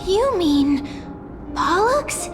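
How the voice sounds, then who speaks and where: A tiny childlike game companion, innocent and excitable. The voice is extremely high and cute, slow and wide-eyed in its questioning, over clear background music. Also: female voice, heavily acted. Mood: puzzled. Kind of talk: scripted fantasy game dialogue. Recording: music behind the voice.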